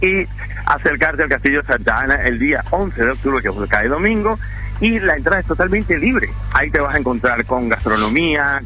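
Venezuelan man, bright rambling storyteller. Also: medium pitch, rough recording. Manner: rambling, animated storytelling with restarts. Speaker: event organizer, guest. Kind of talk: radio interview.

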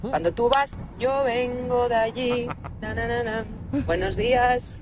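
Slow-talking woman caller from Asturias. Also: a little high, loud music behind the voice. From Asturias, Spain. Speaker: listener calling in.

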